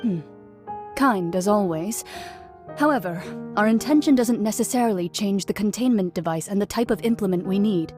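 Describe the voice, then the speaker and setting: An actress voicing a calm, exacting young woman in a video game, measured and articulate. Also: mid-range voice, over music, natural voice. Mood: cool, composed.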